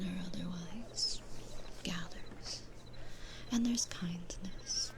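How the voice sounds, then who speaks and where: A woman voicing a sweet, gentle demon florist in an ASMR roleplay, speaking in a soothing, breathy whisper with unhurried pauses. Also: medium pitch, noisy background.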